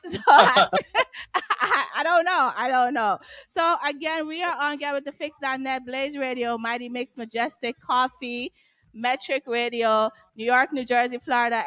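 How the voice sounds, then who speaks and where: A woman's voice: on the high side, fairly bright tone, no echo. A woman from Guyana hosting a live stream interview, chatty and giggly, with many "like" fillers. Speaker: host, interviewer.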